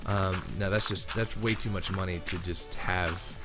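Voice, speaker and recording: Young man, sick and nasal, scratchy low voice, rambling chatty commentary, music playing underneath. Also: steady tone, unhurried. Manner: rambling, chatty, many fillers like "um" and "like". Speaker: Minecraft YouTuber. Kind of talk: casual commentary over gameplay. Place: home room, recording near a sleeping girlfriend. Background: background music.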